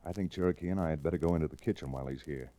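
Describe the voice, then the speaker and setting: An actor playing a level-headed, educated frontier lawyer hero in an old-time radio western, calm and measured. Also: male voice, extremely low pitch, no echo. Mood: calm, serious. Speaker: lead actor and in-character narrator. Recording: restored vintage radio drama recording.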